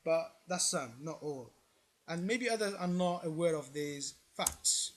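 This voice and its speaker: An online presenter talks through a comparison in an informal, explanatory way. The delivery is opinionated and emphatic, with frequent check-ins to the listener. The voice sits at a middling pitch. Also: measured pace, slight background noise.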